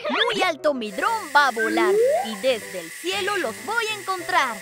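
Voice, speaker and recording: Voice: extremely high pitch, sing-song, unhurried, full character voice. A performer voicing a playful, adventurous young lion cub in a children's cartoon, speaking in sing-song, exclamatory rhymes. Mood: determined. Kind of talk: rhyming children's cartoon narration. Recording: loud music under the voice.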